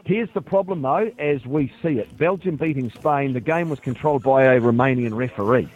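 New radio host, thin broadcast sound. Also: male voice, mid-range voice, a little mellow, dull audio. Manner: brisk, explains step by step. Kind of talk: radio sports talk.

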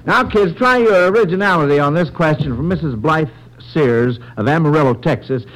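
High-pitched man hosting an old radio quiz. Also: a bit bright, low-quality recording. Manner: halting, encouraging, agreeable. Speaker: quiz show host.

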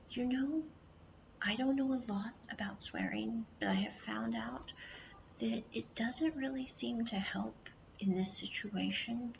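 A woman from the United States telling a rambling travel story, mostly in a hushed whisper, chatty and full of "I was like". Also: mid-range voice. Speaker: vlogger. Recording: dull lo-fi audio with clear background noise.

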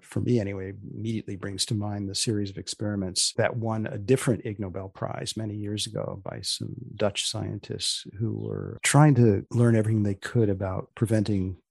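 A man with a fairly low, creaky voice hosts a conversational podcast about research. He phrases things deliberately, with dry humor, and the slightly husky sound is captured very dry and close. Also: flat delivery, a little mellow, no room sound. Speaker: podcast host.

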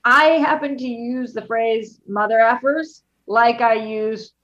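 A woman from Boston in casual conversation as a co-host, talking loudly and passionately and swearing freely. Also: fairly high pitch, steady tone, takes it slowly, muffled recording. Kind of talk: casual chat.

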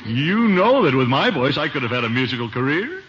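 An actor playing a pompous but soft-hearted middle-aged uncle in a 1950s radio comedy, blustering and hesitant by turns. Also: male voice, mid-range voice, big pitch swings, brisk. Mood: self-important, blustering. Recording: noisy, muffled old radio recording.

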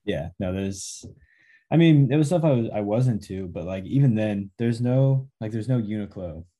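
Man, podcast host, very deep voice, warm mellow tone, easygoing and jokey. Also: normal speed. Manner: relaxed, laughs easily, joking asides. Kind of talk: casual chat.

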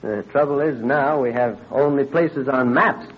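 An actor playing an earnest, confident young clockmaker in an old-time radio drama. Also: male voice, mid-range voice, a little slow, breathy edge. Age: 30s. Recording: dull vintage radio recording.

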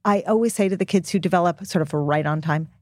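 A woman's voice: on the low side, fast talker, no room sound, studio quality. A middle-aged American woman explaining things as an expert guest in a conversational interview, plain-spoken and emphatic, repeating key points. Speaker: guest expert and author on puberty.

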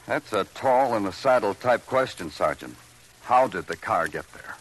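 A man's voice: on the low side, lively intonation, normal speed, lightly acted, low-quality recording. A man acting a weary hard-boiled American police detective who narrates his case in clipped, dramatic old-time radio style. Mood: grim. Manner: clipped, dramatic, brooding delivery. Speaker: lead actor and narrator. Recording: vintage radio recording with music.

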